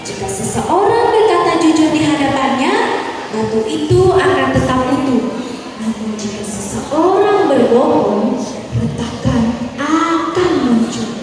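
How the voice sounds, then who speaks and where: A teenage Indonesian girl performs as a storyteller in a very high voice. She speaks slowly and dramatically, far off in a reverberant hall with music behind her. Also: animated. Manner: dramatic, deliberate, with long pauses. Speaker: student storyteller.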